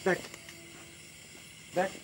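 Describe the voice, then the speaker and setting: A man's voice: very slow, a bit bright, slight background noise, poor audio. An actor playing a harried, touchy young village man in a comedy drama, arguing with rhetorical questions. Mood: indignant, accusing. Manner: argumentative, full of rhetorical questions. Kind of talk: Bengali TV comedy drama acting.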